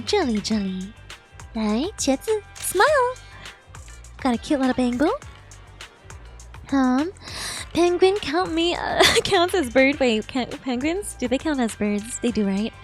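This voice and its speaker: High-pitched, animated woman game streamer. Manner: playful, expressive, cutesy exclamations. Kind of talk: live gaming reaction stream, reading dialogue aloud.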